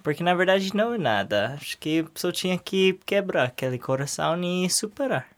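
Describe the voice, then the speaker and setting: Young man, halting speech. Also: high-pitched, expressive. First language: English. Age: young adult. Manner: hesitant, searching for words in a second language. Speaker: interview guest. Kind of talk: casual interview answers.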